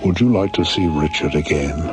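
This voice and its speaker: An actor playing a sinister, manipulative sorcerer villain in a space radio drama, coaxing and menacing. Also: male voice, rumbling low, fairly quick. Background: loud music.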